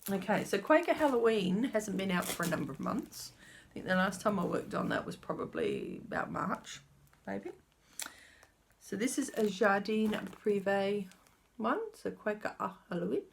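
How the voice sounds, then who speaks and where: A middle-aged woman chats her way through a stitching update, rambling and muttering to herself as she checks details. Her voice sits low with a gravelly edge, yet her pitch moves around a lot. A faint hiss sits under the recording. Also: big pitch swings, normal speed. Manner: chatty, rambling, talks to herself while checking. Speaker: cross-stitch vlogger. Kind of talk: casual show-and-tell stitching update. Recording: faint background noise.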